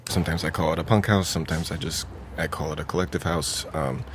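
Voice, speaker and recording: A man chats in a casual interview with a deep, low voice. Faint vehicle noise and ambience sit behind him. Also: fast talker. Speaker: interviewee, house resident.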